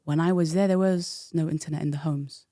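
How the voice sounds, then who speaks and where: A woman in her thirties, originally from the UK, talks through her past in a frank, reflective way. Her voice has a gravelly, creaky texture. The recording is dry and close, with no room sound. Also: deep voice, fairly even intonation, fairly quick, mellow, no echo. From United Kingdom. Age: 30s. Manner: reflective, frank storytelling with frequent fillers. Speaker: guest. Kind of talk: candid personal interview.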